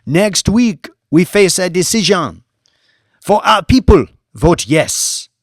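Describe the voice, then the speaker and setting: A man's voice: high voice, expressive, slow, no echo, studio quality, voice pushed up, heavily acted. A man voicing a fervent female African protest leader giving a declamatory rally speech in an audiobook. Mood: rousing, insistent. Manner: declamatory, exclamatory.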